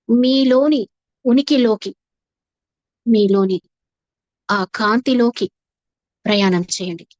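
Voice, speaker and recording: A woman leading a guided meditation as a teacher, soothing and unhurried, with long pauses and repeated phrases. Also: medium pitch, dry recording, lo-fi sound. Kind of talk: guided meditation, slow instructive narration.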